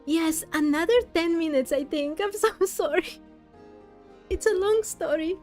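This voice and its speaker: A woman streamer chats casually with her viewers as she plays. Her voice is high and she speaks slowly and gently, a little haltingly. Soft music plays behind her. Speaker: game streamer. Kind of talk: casual live-stream commentary with chat.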